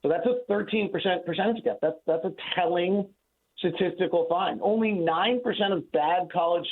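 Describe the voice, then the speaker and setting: A man's voice: high voice, normal speed. A man giving conversational sports analysis on the radio as a guest, emphatic and argumentative, repeating himself for stress. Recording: tinny phone-like line.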